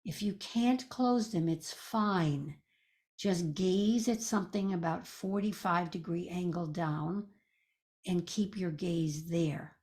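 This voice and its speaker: A woman leading a guided meditation as a teacher, speaking in a gentle, soothing, measured way with pauses. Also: fairly low pitch.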